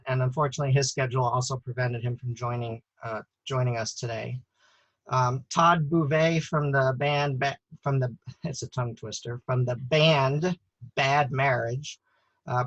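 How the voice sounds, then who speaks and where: A man hosts a casual online premiere, stopping and restarting his sentences often. His voice sits at a middle pitch and sounds close and dry, with no room around it. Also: a bit bright, no room sound, low-quality recording. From United States. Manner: halting, many fillers and restarts. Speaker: author and host.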